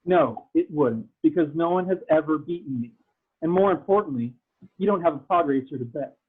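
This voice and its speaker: Through thin, phone-like call audio comes a scornful racing gang boss, played by a man. His voice is a bit husky and taunting, talking down to everyone as part of a fan-fiction table read. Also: fairly high pitch, expressive, fairly quick. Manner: condescending, taunting. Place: remote video call.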